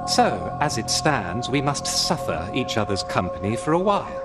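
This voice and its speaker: A man's voice: mid-range voice, sing-song, over loud music, close to an everyday voice. Man playing formal, reserved elf warrior. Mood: cool, formal. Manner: formal, measured. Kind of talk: video game cutscene acting.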